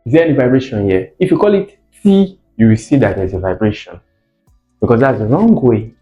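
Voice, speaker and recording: Muffled, echoey male teacher, mellow voice. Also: mid-range voice, big pitch swings, a little fast. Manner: didactic, questioning, repeats key words for emphasis. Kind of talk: explanatory phonics lesson. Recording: muffled, echoey room with faint music behind.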